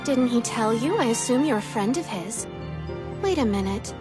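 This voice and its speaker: Casting: teasing, sweetly sly teen anime girl acted by a woman, high voice, loud music underneath. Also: animated, moderate pace, lightly acted. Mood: polite, provocative. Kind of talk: anime fan dub acting.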